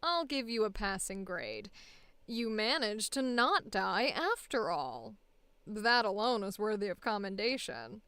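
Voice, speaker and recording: A haughty villain, cold and condescending, calling out attacks in a slow, grand, theatrical way, as a woman puts the voice on. The tone is smooth and clean, and the dry, close recording has no room echo. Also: mid-range voice, big pitch swings, sharp tone. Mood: cold, menacing. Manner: slow, commanding, theatrical delivery.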